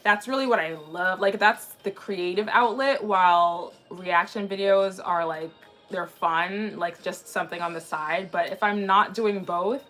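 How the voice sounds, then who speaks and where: A woman in her twenties chatting casually on a livestream in a rambling way, slightly raspy from a sore throat. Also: on the low side, measured pace, a bit bright. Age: 20s. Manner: chatty, rambling, frequently restarting sentences. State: mildly sick with a sore throat. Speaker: anime livestream host. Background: background music.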